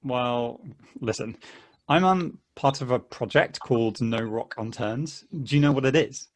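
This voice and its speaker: A man plays a friendly male hiker in a stage play reading. His voice sits at a middle pitch. He is eager and courteous, chatting haltingly and with a chuckle, earnest about befriending whoever he meets. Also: close to an everyday voice. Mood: friendly, enthusiastic. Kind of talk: stage play table read.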